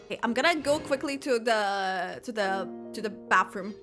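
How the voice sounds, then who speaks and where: A woman's voice: medium pitch, expressive, normal speed, fairly bright tone, soft background music. A woman streamer giving casual, playful live reaction commentary on a video game.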